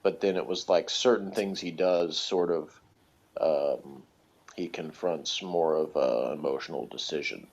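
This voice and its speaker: A man's voice: rumbling low, measured pace, a little mellow, husky. A man in casual analytical chat, talking in a hesitant, tentative way as he thinks aloud. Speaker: guest reviewer discussing TV characters. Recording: dull lo-fi voice recording.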